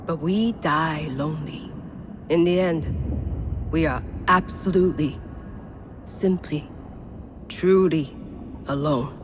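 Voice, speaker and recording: Slow, low-voiced cold villainess. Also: female voice, over music. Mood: cold, bitter. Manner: deliberate, emphatic pauses. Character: cold, solitary, philosophical female villain. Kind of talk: slow dramatic monologue in a video game cutscene. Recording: clear background ambient noise.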